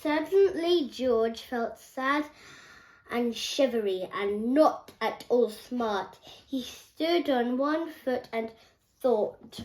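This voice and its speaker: A young girl reads a children's picture book aloud, carefully and haltingly, stumbling and correcting herself. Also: fairly high pitch, lively intonation, darkish tone, a little hiss or hum, low-quality recording. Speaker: young reader.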